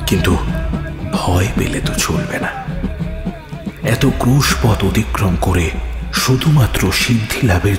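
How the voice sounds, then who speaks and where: Male horror narrator, very low pitch, lively swooping intonation, theatrical, suspenseful, dramatic storytelling. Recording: reverberant hall effect with music behind. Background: music.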